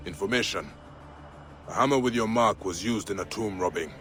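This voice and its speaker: A male actor voices a grim avenger of ancient Egypt in a game cutscene. His voice sits low, and he speaks tersely, with solemn resolve. Music plays clearly behind him. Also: normal speed, fairly bright tone, close to an everyday voice. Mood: firm, resolute. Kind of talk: video game cutscene acting.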